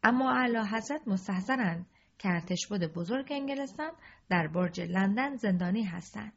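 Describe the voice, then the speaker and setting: A woman voicing a deferential male court noble, speaking cautiously with a husky voice on a dull lo-fi recording. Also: mid-range voice, lively intonation.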